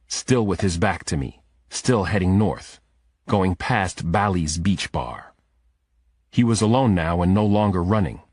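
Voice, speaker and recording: A man's voice: low-pitched, darkish tone, breathy edge, no room sound. A man reading scripted first-person thriller narration as an audiobook narrator.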